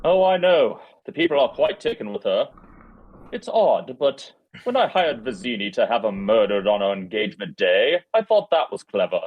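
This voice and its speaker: A man voicing a smug, scheming, murderous prince at a screenplay table read, unhurried and self-satisfied. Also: on the low side, sing-song, narrow, tinny audio, pitched below the performer's own voice.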